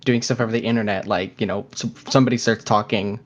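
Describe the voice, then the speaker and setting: A man co-hosting a podcast in casual, slightly halting conversation, recorded remotely from home. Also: lowish voice, steady tone, muffled recording. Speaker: podcast co-host.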